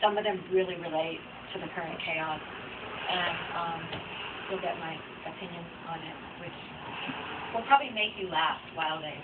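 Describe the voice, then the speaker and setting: A woman's voice: fairly low pitch, moderate pace. A woman author speaking informally at a book event, reflective and rambling in a conversational way. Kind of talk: informal audience Q&A. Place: bookstore reading event. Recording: distant mic, dull noisy room recording.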